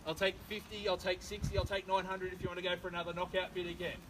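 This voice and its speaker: An Australian man in his thirties working as an auctioneer, running a live property auction with brisk, persuasive sales patter on a suburban street. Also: high-pitched, monotone. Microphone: far camera, no microphone. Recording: distant outdoor camera audio, loud street noise.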